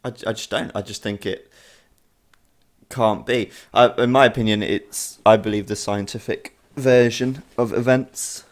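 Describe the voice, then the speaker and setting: A man's voice: lowish voice, fairly even intonation, unhurried. A young man in casual podcast chat, giving short wry and teasing replies. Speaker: podcast co-host.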